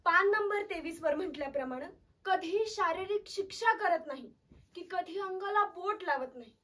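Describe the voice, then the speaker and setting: Woman acting a very high-pitched, overwrought scolding mother. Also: lively intonation, normal speed, exaggerated voice. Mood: reproachful. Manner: theatrical, swinging between shouting, pleading and sobbing. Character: overwrought mother scolding her child, volatile, self-pitying. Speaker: actor performing a theatre monologue. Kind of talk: dramatic Marathi stage monologue. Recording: voice far from the mic.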